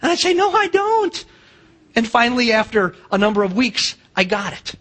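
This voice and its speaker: A man's voice: very high voice, very animated, moderate pace, a bit bright, husky. A middle-aged man with an American accent preaching a sermon as a pastor, emphatic, posing rhetorical questions and acting out dialogue. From United States.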